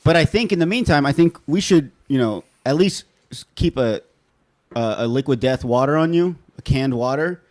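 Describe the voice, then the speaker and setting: A young adult male podcast host talks in a loose, rambling way and apologizes often. His voice sits in an ordinary middle pitch for a man. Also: lively intonation, moderate pace, darkish tone. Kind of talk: casual podcast chat.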